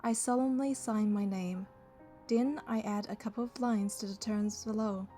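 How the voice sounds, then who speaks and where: A woman's voice: mid-range voice, steady tone, moderate pace, mellow, lightly acted. A woman voicing a young romance game heroine, narrating and speaking in a composed, scripted way. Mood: composed, tender. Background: music.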